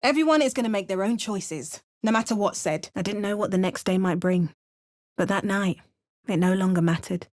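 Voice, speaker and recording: An actress playing a wry, curious spellcasting adventurer in a fantasy video game, with a measured, storylike delivery. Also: female voice, mid-range voice, a little mellow, no echo, clean studio sound, close to an everyday voice. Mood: wry, musing. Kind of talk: video game character voice lines.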